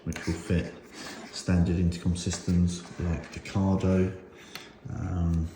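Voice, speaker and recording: A man with a very low voice talks through a hands-on gear demonstration in a casual, unscripted way. He thinks aloud a little hesitantly. The recording is noticeably echoey, with faint noise underneath. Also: level tone, slow pace. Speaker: motorcycle gear reviewer and host. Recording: roomy echo with faint noise.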